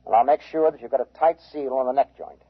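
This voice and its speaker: A man acting as a brisk, authoritative army major giving orders in a 1950s radio drama. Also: medium pitch, steady tone, slightly rough, natural voice. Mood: tense, commanding. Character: brisk commanding army major briefing a suited team. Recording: tinny, phone-like 1950s radio recording.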